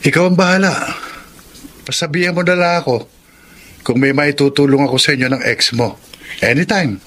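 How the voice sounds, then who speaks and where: A man's voice: a little high, normal speed, fairly bright tone, slight background noise, natural voice. An actor playing a supportive young male friend in a Filipino radio drama, reassuring and persuasive.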